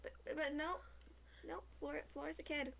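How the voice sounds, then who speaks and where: A woman chatting casually as a game stream companion, in a slightly halting way. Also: high-pitched, level tone, creaky, a bit bright. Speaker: stream co-commentator, friend. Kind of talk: casual chat over gameplay.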